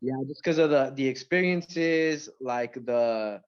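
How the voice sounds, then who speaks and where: A man from Mexico, raised in Central California, talks casually as a rapper being interviewed. His mid-pitched voice comes through dull and lo-fi. He feels his way through sentences, often doubling words. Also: clear, resonant tone. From born in Mexico, grew up in Central California. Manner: halting, repeats words, frequent "you know" and "like".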